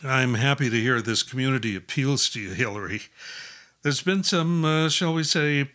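Man playing a bright-voiced, diplomatic priest. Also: medium pitch, lightly acted. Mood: reassuring. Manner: gentle reproofs, euphemistic, measured wording. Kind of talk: naturalistic audio drama acting.

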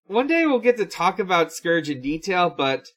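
A podcast co-host casually relaying news in a hesitant, halting way. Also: medium pitch, sing-song, a bit bright.

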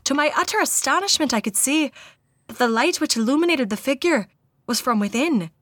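A woman's voice: sits high, lively intonation, a little fast, dry recording, clean studio sound. A woman voicing an earnest ghost-story witness with a put-on Irish accent, reading the account dramatically. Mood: awed, dramatic. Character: earnest guest recounting a haunting, in an Irish accent.

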